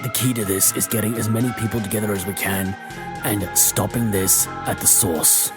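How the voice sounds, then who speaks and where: A man playing an earnest, determined adventurer in a tabletop fantasy game, deliberate and resolute. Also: fairly low pitch, steady tone, natural voice. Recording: loud music bed.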